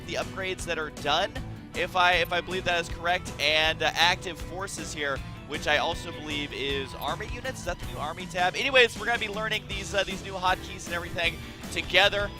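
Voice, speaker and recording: A man commentating a video game match from his room, excitable and quick to exclaim, his voice raspy from just waking up. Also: sits high. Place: his own room at home.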